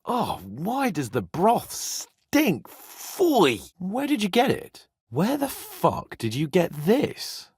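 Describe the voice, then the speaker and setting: A man voicing a spirited young nobleman in a video game, with an expressive, irreverent, bossy but loyal manner. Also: high-pitched, slow, hardly any pauses, slightly rough, no room sound, clean studio sound, exaggerated voice. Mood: indignant, exasperated. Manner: expressive, irreverent, swearing freely. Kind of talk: video game character voice acting.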